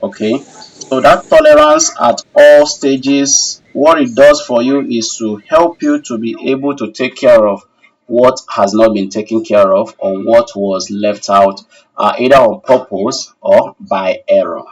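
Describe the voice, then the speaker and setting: A man's voice: medium pitch, animated, unhurried, recorded from a distance, dull audio. A man instructing a live online class and taking questions, courteous and formal, repeating phrases for emphasis. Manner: courteous, addresses learners formally as sir. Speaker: instructor of an online technical course.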